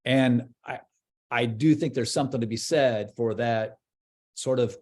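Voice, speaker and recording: A man in his fifties, a guest in a relaxed interview. His voice sits at an ordinary middle pitch, and he talks thoughtfully, hunting for words and trailing off. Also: measured pace. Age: 50s. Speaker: church leader guest. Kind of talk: casual interview conversation.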